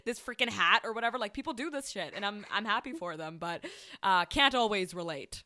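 Bright-voiced young woman hosting. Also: medium pitch, lively intonation, a little fast. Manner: enthusiastic, casual, swears freely. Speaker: podcast host. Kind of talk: casual podcast chat.

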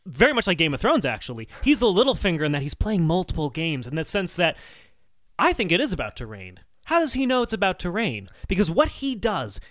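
A man's voice: sits high, wildly swooping pitch, fairly quick, fairly bright tone, no echo, clean studio sound. A man co-hosting a comedy podcast in casual banter, playfully over-analysing as he talks.

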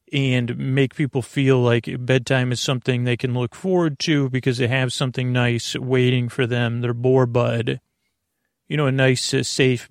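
A man with a gravelly, creaky voice rambles through a bedtime podcast, circling back and interrupting himself with soft jokes. The sound is dry and close, with no room around it. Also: mid-range voice, no room sound. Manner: meandering, self-interrupting, gently joking. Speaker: sleep podcast host. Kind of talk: rambling casual monologue.

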